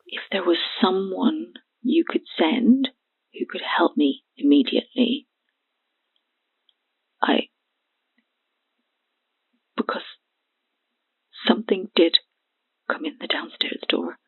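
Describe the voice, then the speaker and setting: Frightened young woman, slow halting speech, thin phone line, desperate, audio horror drama. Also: mid-range voice, animated, heavily acted. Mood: terrified, pleading. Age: 20s. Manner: halting, hushed, breathy delivery. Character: terrified young woman witness, haunted and desperate. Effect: telephone filter.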